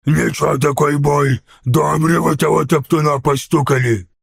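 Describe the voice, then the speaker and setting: A man voicing an embarrassed orc warrior in an audiobook, hesitant and full of excuses, with a gravelly voice. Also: a little high, fairly even intonation, normal speed, no room sound, studio quality, full character voice. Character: sheepish male orc warrior, feigning bravery.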